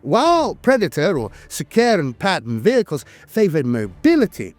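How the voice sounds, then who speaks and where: A man reading scripted lore narration as an in-world chronicler, formal and measured, with frequent hesitations. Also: on the high side, huge pitch swings, no echo, soft background music.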